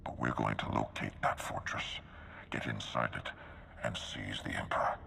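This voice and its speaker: An actor playing a commanding, idealistic Jedi master with a deep, gravelly voice in a video game. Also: male voice, steady tone, normal speed, bright, forceful tone, in a large hall, a little hiss or hum, heavily acted. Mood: determined. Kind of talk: video game cutscene acting.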